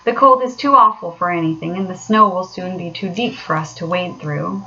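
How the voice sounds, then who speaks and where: Lady doing a keen practical rat fella in a storybook, kindly but excitable, bright punchy voice. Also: lowish voice, moderate pace, muffled recording, a little hiss or hum. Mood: practical, urging. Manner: earnest, eager, exclamatory.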